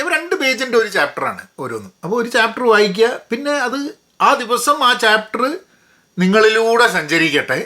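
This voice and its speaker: A man's voice: fairly high pitch, pushed and bright. A man with a Malayali accent giving a casual, explanatory book review, mixing in English phrases and repeating words for emphasis.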